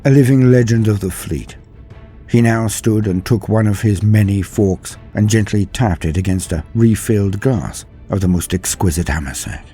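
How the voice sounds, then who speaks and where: A man narrating a scripted story in a solemn, theatrical manner, with deliberate dramatic pauses. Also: lowish voice, warm tone. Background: faint music.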